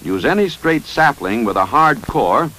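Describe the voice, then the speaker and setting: A man with an American accent reading a scripted 1950s instructional film narration in a measured, authoritative, instructive manner. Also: medium pitch, lively intonation, bright, forceful tone, rough recording. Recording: 1955 training film soundtrack.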